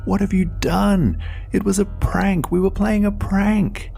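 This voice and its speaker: A man's voice: high-pitched, fairly even intonation, measured pace, gravelly, mellow, faint music behind, pitched above the performer's own voice, full character voice. A man voicing a horrified young adult son in a horror story, crying out and pleading in distress.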